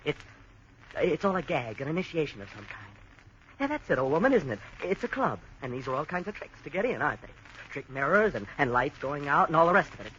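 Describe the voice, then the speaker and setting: A man's voice: on the high side, big pitch swings, fast, sharp tone. An actor playing a frightened young man who stammers and questions, in a 1940s radio drama. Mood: pleading. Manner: stammering, questioning, increasingly panicked. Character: young American man, nervous, growing terrified. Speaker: Jerry. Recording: dull old radio broadcast, faint hiss.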